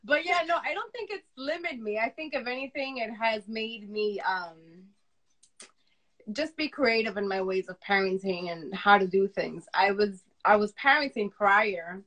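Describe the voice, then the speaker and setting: A woman speaks as a guest in a casual, personal conversation. Her voice is loud, bright and forceful, at a middle pitch. She is frank and emphatic, often repeating phrases to drive a point home. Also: normal speed, poor audio. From Dominican upbringing. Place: remote live video chat.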